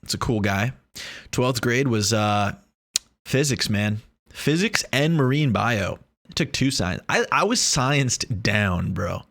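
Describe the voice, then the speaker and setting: A man's voice: mid-range voice, animated, slow pace, vocal fry, fairly bright tone, no room sound, clean studio sound. A man, rambling and joking in a casual vlog monologue, boastful and swearing freely. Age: 20s. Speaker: vlogger. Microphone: lav or shotgun mic.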